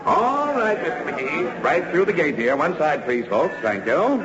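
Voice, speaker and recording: An actor playing a fussy, courteous railroad executive, hesitant and easily flustered, in an old-time radio comedy. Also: male voice, a little high, sing-song, measured pace, a crowd in the background. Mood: officious. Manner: hesitant, stammering, formal. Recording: muffled, noisy vintage radio recording.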